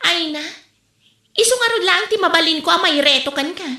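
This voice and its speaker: A woman's voice: extremely high pitch, lively intonation, a little fast, bright, forceful tone, roomy sound. A woman with an Ilocano accent acting a teasing, playful mother in a comedy skit, laughing often.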